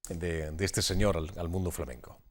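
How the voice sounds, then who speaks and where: A man's voice: deep voice, fairly even intonation, moderate pace. A man with a Spanish accent from Spain, hosting a conversational TV interview and often repeating words as he forms his questions. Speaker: TV magazine host interviewing a guest.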